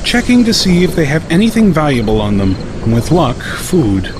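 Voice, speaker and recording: A man's voice: fairly high pitch, sing-song, moderate pace, background noise. A man voicing a cynical, mercenary male unicorn pony with a bored, snide drawl in an audiobook story. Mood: dismissive.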